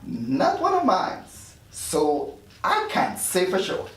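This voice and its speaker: A man's voice: very high voice, wildly swooping pitch, slow pace, creaky, fairly bright tone, heavily acted. A man playing an evasive afterlife doctor in his thirties, read at a script table read. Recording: very echoey room, distant mic.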